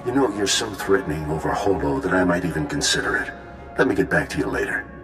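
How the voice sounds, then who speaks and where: Renegade soldier villain, very low voice, mocking, measured, menacing, roomy echo, game dialogue. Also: male voice, lively intonation, music in the background. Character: embittered traitorous commando leader, smug, menacing male villain. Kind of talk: scripted video game acting.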